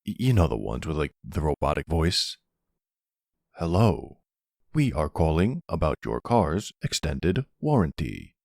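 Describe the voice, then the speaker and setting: A man playing a middle-aged mafia boss and devoted stepfather in an intimate roleplay audio drama, with a husky, gravelly voice and an affectionate, wry way of talking. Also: extremely low pitch, big pitch swings, unhurried, darkish tone, dry recording, studio quality, full character voice. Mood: wry, exasperated.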